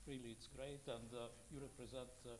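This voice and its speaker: A man in his sixties with a Bulgarian accent, speaking off the cuff as a journalist on a conference panel, haltingly and with many fillers and repeats. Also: medium pitch, flat delivery, background noise. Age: 60s. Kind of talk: unscripted panel remarks.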